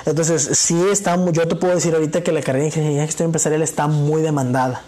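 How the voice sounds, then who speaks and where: Chatty man, slightly high voice. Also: steady tone, rapid-fire, warm tone. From Mexico. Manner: chatty, many fillers like "este" and "vaya". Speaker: podcast guest. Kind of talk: casual conversation.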